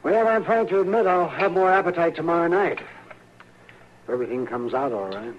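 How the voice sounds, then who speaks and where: An actor playing a grumbling, frank older frontier doctor in an old-time radio western, dry and crotchety. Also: male voice, on the high side, normal speed, close to an everyday voice. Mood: grumbling, glum. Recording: dull, noisy old radio recording.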